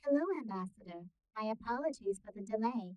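A woman voicing a polite, composed starship AI with formal, measured announcements in a sci-fi audio roleplay. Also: on the high side, darkish tone, pitched above the performer's own voice, lightly acted, poor audio.

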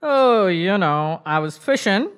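A man voicing an elderly fisherman grandfather in a children's puppet show, with a slow, drawn-out storytelling manner. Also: sits high, expressive, a bit bright, clear, resonant tone, full character voice.

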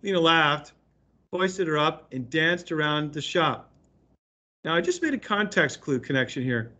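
A man's voice: a little high, lively intonation, unhurried, pushed and bright, muffled recording. A man speaking as an elementary school teacher, reading aloud and explaining to a remote class of children in an encouraging way.

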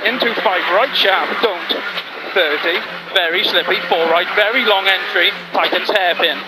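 A rally co-driver reading pace notes in clipped calls over a headset inside a car. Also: male voice, high voice. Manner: clipped rapid-fire calls. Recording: in-car intercom over loud engine. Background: loud engine and road noise.

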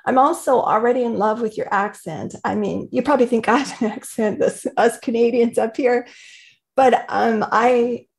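A woman's voice: fairly high pitch, normal speed, creaky. Effusive woman interview host. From Indiana. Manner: enthusiastic, effusive, laughs often. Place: home room. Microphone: video call webcam.

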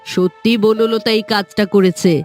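Queen mother for an animated fairytale female actor, stern and suspicious, unhurried speech, faint music. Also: mid-range voice, close to an everyday voice. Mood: reproachful. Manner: stern, reproachful. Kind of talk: Bengali fairytale cartoon acting.

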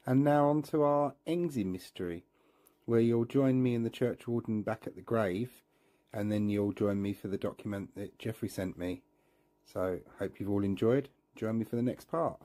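A man's voice: lowish voice, warm tone. A man hosting a history vlog, narrating to his viewers in a conversational way.

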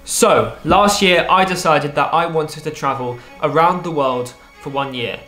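Young man telling a lively story. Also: on the high side, a little slow, echoey room, soft background music. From England. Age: young adult. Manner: lively, wry storytelling with playful asides. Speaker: vlogger. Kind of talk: storytelling vlog narration. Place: small room with a desk lamp, indoors.

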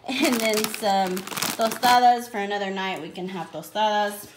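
A woman's voice: medium pitch, fairly even intonation, moderate pace. An American woman in her thirties chats informally in a rambling, explanatory way, like a family vlogger showing groceries. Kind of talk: casual grocery haul vlog chat. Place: indoor home kitchen at a counter. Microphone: handheld phone behind the camera. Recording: echoey room, crinkling package noise. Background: plastic packaging crinkles and clicks.

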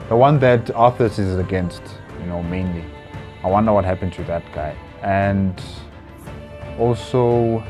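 A young adult man giving casual commentary as a reaction video host, musing and hesitant, on a lapel mic in a small room. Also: sits low. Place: small plain room indoors.

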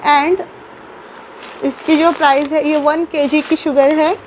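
Young woman vlogger, high-pitched, chatty with fillers and restarts, close phone mic in a small room. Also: little pitch movement, slow pace, a little mellow, a little hiss or hum, low-quality recording. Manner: chatty, many fillers and restarts, mixes English words into Hindi. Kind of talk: casual unboxing vlog.

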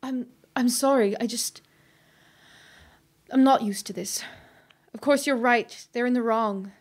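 A woman playing a gentle, principled elven market trader in an audio drama, with an earnest, hesitant manner. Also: on the high side, measured pace. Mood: apologetic, pleading.

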